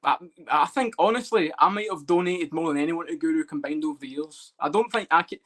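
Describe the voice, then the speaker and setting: Fast-talking Scottish man. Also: a little high, a bit bright. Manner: swears freely, teasing. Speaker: stream guest. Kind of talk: casual chat.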